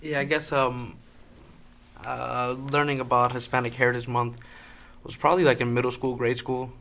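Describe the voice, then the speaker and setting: Man, tentative panelist, steady level voice. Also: mid-range voice, moderate pace, vocal fry, slight background noise. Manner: hedging, tentative. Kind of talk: casual panel discussion.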